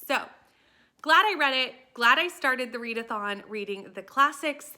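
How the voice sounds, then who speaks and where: A woman's voice: mid-range voice, sharp tone. A woman talking casually and enthusiastically to camera as a book vlogger, with emphatic delivery.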